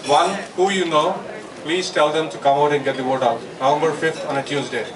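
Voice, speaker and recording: A man's voice: fairly high pitch, steady tone, brisk, background noise. A man giving a rallying introductory speech at a community event, urging people to vote. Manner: urgent, rallying. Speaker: election officer, event speaker. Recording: echoey hall, mic far off, crowd.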